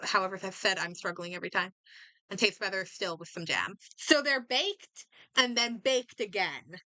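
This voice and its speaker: A woman doing casual game stream commentary, reading aloud and reacting in a halting, think-out-loud way. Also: mid-range voice, expressive, dry recording, rough recording.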